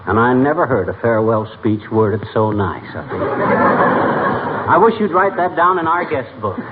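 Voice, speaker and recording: A man's voice: medium pitch, animated, moderate pace, close to an everyday voice. A man playing a brash, boastful, folksy middle-aged husband in a 1940s radio sitcom. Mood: bantering. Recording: tinny, very noisy 1940s radio broadcast.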